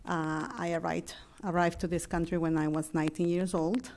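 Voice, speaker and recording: Low, flat-voiced woman. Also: normal speed. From Peru. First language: Spanish.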